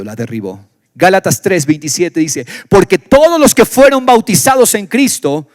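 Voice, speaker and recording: A man from Ecuador preaching a sermon in Ecuadorian Spanish, moving between loud exclamations and low, quiet stretches. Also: dry recording. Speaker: pastor. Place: church.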